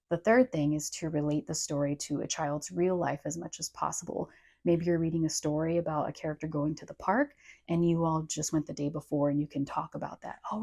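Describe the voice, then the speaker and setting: A woman explains tips for parents in an informal, instructive way. Her voice sits low and soft-toned, with noticeable vocal fry. She comes across as an encouraging teacher. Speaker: speech-language pathologist and channel co-host.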